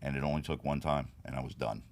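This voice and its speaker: A man in his fifties with a deep, raspy voice, talking frankly as a guest in a casual interview. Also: fast talker. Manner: frank, steady storytelling with many "you know"s. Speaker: podcast guest.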